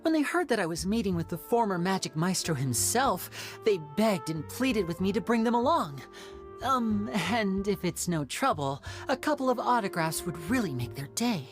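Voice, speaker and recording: A woman playing a polite young stage magician and schemer in a video game, measured, courteous and persuasive. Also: medium pitch, very animated, over music, close to an everyday voice. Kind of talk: English game voice acting.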